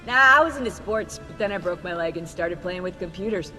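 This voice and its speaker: A woman's voice: on the low side, lively intonation, brisk, bright, forceful tone. A woman voicing an upbeat, enthusiastic young tech whiz in a video game. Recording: long reverb, faint music.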